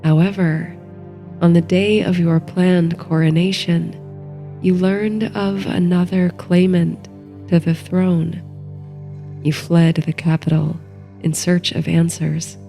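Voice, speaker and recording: A woman narrates a bedtime fantasy with very slow, unhurried pacing and a soft, mellow tone. Her voice sits a little low and stays very even, gently guiding the listener like a meditation, with music underneath. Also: gravelly. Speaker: host, sleep story narrator and meditation guide. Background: soft background music.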